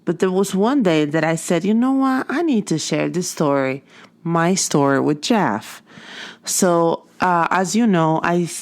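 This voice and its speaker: A woman's voice: fairly low pitch, animated, unhurried, darkish tone. A woman whose first language is Portuguese, hosting her own podcast in a chatty, storytelling monologue with frequent self-corrections. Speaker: podcast host. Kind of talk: casual motivational monologue.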